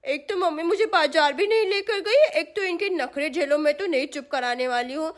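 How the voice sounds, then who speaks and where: नखरीली, चालाक छोटी बच्ची की बहुत ऊँची आवाज़ , एक महिला के अभिनय में. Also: female voice, high-pitched, moderate pace, lightly acted. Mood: annoyed, scolding. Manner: whiny, pouting. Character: sulky, scheming little girl, whiny and bossy.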